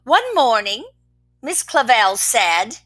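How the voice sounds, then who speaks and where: A woman reading a picture book aloud to children, expressive, with soft whispered asides between the verses. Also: fairly high pitch, slow. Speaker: children's story reader.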